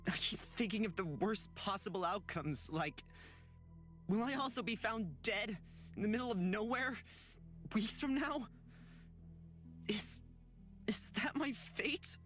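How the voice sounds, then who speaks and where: A man's voice: sits high, expressive, a little slow, long pauses, fairly bright tone, faint music behind. A man voicing an earnest, anxious young man in a visual novel game, sincere and hesitant. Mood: scared.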